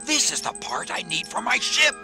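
A gruff, earnest old man speaks in a high, very creaky voice. He is plain-spoken and kindly, with music under this storybook drama. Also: huge pitch swings, normal speed, full character voice. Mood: urgent. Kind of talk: dramatised children's read-along storybook.

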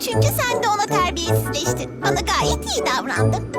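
A woman voicing a small, cheerful, polite but indecisive child character in a children's cartoon, with expressive, childlike delivery. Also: very high voice, moderate pace, full character voice. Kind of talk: animated children's cartoon dialogue. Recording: prominent children's music under dialogue.

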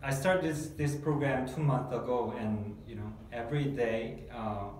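A man's voice: medium pitch, a little slow, darkish tone. A Korean man in his thirties, a guest in a testimonial interview, speaking English as a second language and hesitating often, with many fillers and restarts. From South Korea. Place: small dark meeting room, seated at a table. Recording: echoey room, mic far off, faint hiss.

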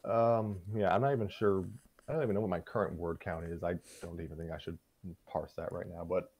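A man in his twenties chatting casually as a show host giving an update, halting and wry, often restarting his sentences. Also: low voice, fairly even intonation, darkish tone. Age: 20s. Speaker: host of a story-reading voice show. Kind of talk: casual chat, project update.

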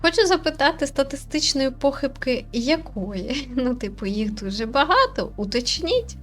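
A woman's voice: high voice, big pitch swings, normal speed, faint music behind. A woman hosting a game video with casual, off-the-cuff commentary, musing aloud and asking questions as she goes. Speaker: gaming video host and commentator.

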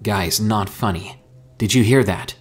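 Frantic, angry young man for audio horror, urgent, husky, prone to shouting male narrator performing. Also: lowish voice, slow pace, soft background music, full character voice. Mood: panicked. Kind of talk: dramatic horror audiobook character acting.

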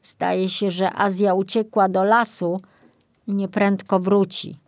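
A woman voicing a mild, calm male forest ranger in a children's story, speaking in a measured, composed way. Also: medium pitch. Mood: rueful, tired.

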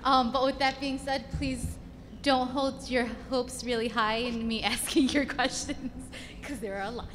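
High-voiced young woman moderating in a hall. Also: fairly even intonation, a little slow, fairly bright tone. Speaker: session moderator. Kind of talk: informal event moderating. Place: large convention meeting room. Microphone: podium microphone. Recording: echoey hall, faint room noise.

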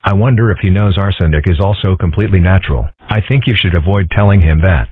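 Low, husky deadpan man as cartoon animal. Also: fast, no room sound. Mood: matter-of-fact. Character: deadpan male cartoon animals in a comic strip. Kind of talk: comic strip dub. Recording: muffled, narrow phone-like sound.

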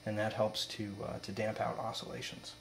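Young male student presenter, even monotone, informal and explanatory, faint mains hum behind. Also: on the low side, normal speed, vocal fry. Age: young adult. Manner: matter-of-fact, explanatory. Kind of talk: informal demonstration narration. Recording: steady mains hum, background noise.

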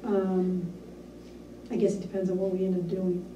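A businesslike woman talking through town business in a measured way, often pausing on an um. She sounds far off, in an echoey room. A steady hiss sits under her voice. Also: on the low side, muffled recording. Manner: measured, businesslike, with frequent ums. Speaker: town administrator. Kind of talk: reporting at a board meeting. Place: small municipal meeting room. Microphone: far room camera.

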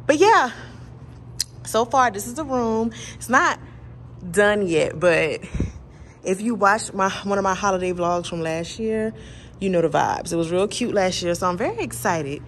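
A woman's voice: medium pitch, animated, a little slow, fairly bright tone, a little hiss or hum. A woman in her thirties chatting casually to viewers as a vlogger, bubbly and chatty, filming herself on a phone at arm's length in an office turned party venue. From Atlanta, United States. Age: 30s. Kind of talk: casual vlog chat to camera. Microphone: phone held at arm's length.

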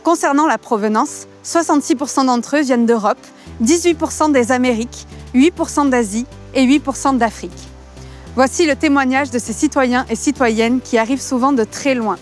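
Young woman interviewer, slightly high voice, friendly prompts, faint music behind. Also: normal speed. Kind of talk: interview with voice-over narration.

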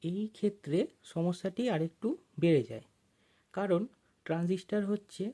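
A person narrating an informal technical tutorial as an instructor, explaining things step by step with pauses. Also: mid-range voice, dark tone.

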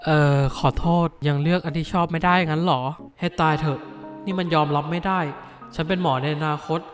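A man voicing a bookish, easily flustered teenage schoolboy in an animated dub, grumbling to himself. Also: on the high side, brisk, soft background music, natural voice. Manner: flustered, grumbling inner monologue. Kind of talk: anime dub acting.

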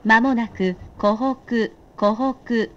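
Formal woman announcer, unhurried and higher-pitched. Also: a bit bright, highs cut off. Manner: formal, polite, measured scripted delivery. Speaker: automated train announcer. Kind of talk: recorded train announcement in short set phrases.

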